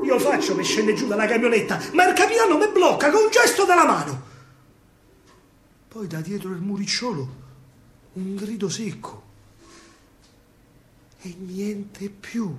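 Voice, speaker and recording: A man with a Roman accent telling a story in dramatic dialect verse, swinging between hushed and loud. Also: high voice, expressive, measured pace, long pauses, slight background noise. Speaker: stage storyteller, actor. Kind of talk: theatrical verse monologue in dialect. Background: faint live music.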